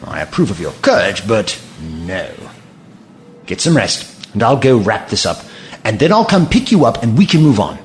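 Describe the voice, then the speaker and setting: A man playing a caring, curious alien man in an audio drama, precise and gently reassuring with dry wit. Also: fairly low pitch, very animated, moderate pace, a little hiss or hum. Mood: gently firm.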